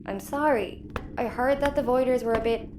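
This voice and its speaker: A woman's voice: a little high, normal speed, close to an everyday voice. A woman playing a dry, skeptical, disillusioned member of a spaceship crew in an audio comedy. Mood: dejected. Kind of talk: sci-fi audio comedy acting. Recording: roomy, noisy ambience.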